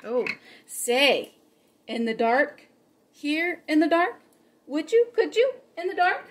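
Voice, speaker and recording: A woman preschool teacher reading a children's storybook aloud and chatting to her young audience in a playful, exaggerated, expressive way. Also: high-pitched, slow pace. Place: home kitchen, indoors.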